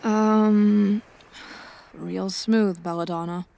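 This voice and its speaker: A woman's voice: mid-range voice, steady tone, extremely slow, vocal fry, mellow, no room sound. A woman voicing a guarded, wry young cat-eared woman in audiobook dialogue, with a dry, reserved manner. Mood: self-reproachful.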